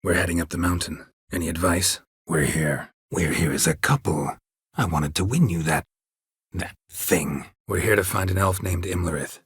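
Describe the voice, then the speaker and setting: Gruff american monster hunter for a game, super raspy, dry sardonic deadpan, close dry studio sound. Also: male voice, normal speed, no room sound. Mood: flat, businesslike. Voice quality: husky, gravelly low voice. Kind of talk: video game voice acting.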